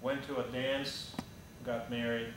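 A man's voice: mid-range voice, little pitch movement, a little slow. A man in his thirties from Russia with a Russian accent, giving a casual, blunt slide talk as an airline pilot, with frequent "uh"s and restarts. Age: 30s. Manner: conversational and blunt, with frequent "uh" and restarts, gesturing while standing. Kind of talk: informal slide presentation to a group. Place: large echoey hangar-like meeting room indoors. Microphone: camera at the back of the room. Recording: echoey room, distant camera, background hum.

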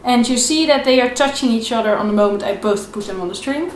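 A woman's voice: a little high, quick pace, slight background noise. A young woman giving an informal, step-by-step instructional lesson as a violin teacher, repeating key points in an encouraging way. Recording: echoey room. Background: violin playing between phrases.

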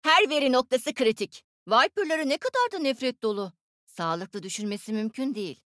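A bright-minded, smug young woman inventor from a video game. Her voice sits high and jumps about with lively, teasing expression. The sound is dry, with no room to it. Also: no echo. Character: cocky young female tech genius, playful and smug.

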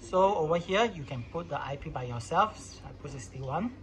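A man's voice: fairly high pitch, lively intonation, normal speed. A man narrating an informal hands-on product tutorial in casual, phrasing. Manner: casual non-native English phrasing. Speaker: product demonstrator.

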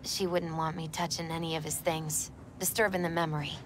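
Woman acting as low-pitched, wistful young woman. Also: little pitch movement, a little fast, background noise, lightly acted. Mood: wistful, hesitant. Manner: soft, wistful, reflective. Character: gentle, spiritual young woman quietly grieving her boyfriend. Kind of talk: naturalistic video game acting. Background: music in the background.

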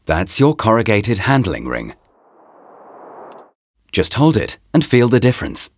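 Low-voiced man narrating a product promo. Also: huge pitch swings, a little slow, no echo. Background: background music.

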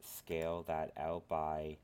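A man with a cold, sniffling as he talks through a software modeling tutorial at his computer, rambling with lots of filler phrases. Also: very deep voice, monotone, takes it slowly, smooth. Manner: rambling, filler-heavy instructions. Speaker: tutorial host. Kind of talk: screen-recorded software tutorial.

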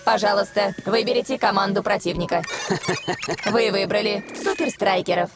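A woman's voice: mid-range voice, moderate pace, over loud music, close to an everyday voice. A woman voicing a polite, formal computer announcing options on a cartoon training machine. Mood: polite, neutral. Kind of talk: cartoon voice acting.

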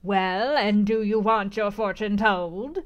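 Woman putting on a harsh old crone fortune teller, bold and decided, loud and knowing. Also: medium pitch, slow, clear, resonant tone, lo-fi sound, close to an everyday voice.

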